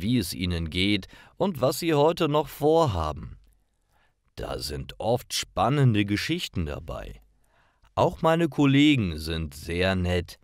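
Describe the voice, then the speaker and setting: Male narrator for learner stories: deep, unhurried, careful delivery with lively intonation. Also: no echo, clean studio sound. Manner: careful, deliberate reading. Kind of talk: slow scripted narration for language learners.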